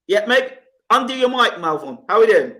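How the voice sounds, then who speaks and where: A man's voice: high-pitched, big pitch swings, moderate pace, bright, forceful tone. A man hosting a live online talk show in a chatty, welcoming, joking way. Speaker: host.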